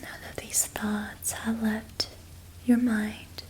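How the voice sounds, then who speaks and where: A young woman guides an ASMR relaxation in a soft, breathy whisper. She speaks very slowly and evenly, and her voice sits a little high, in a flat, hushed tone. She repeats phrases softly and steadily. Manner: soft unvoiced whisper, slow repetitive soothing phrasing. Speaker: ASMR creator.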